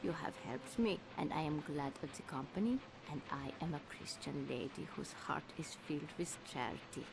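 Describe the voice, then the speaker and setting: A woman's voice: deep voice, normal speed, gravelly, hardly any pauses, noisy background. A woman voicing a pious, fretful, kindly lady traveller in a video game, speaking in formal, overly polite phrases. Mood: prim, anxious. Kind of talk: video game character acting.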